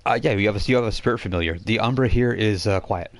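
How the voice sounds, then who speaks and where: A man acting as game master on a live stream, narrating informally and haltingly as he thinks aloud. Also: fairly low pitch. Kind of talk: narrating a live online tabletop role-playing game. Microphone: voice chat microphone on a live stream.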